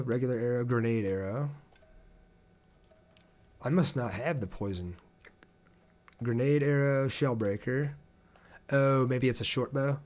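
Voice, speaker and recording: A man's voice: medium pitch, darkish tone. Casual man streaming a game. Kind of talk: casual live gameplay commentary.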